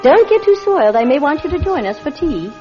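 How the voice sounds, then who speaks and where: A gentle, high-voiced weary mother. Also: sing-song, fairly quick, soft background music. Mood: gently motherly. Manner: tender, motherly, reluctant. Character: gentle, weary clairvoyant mother of two young children. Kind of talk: radio drama acting. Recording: muffled, dull old radio drama sound.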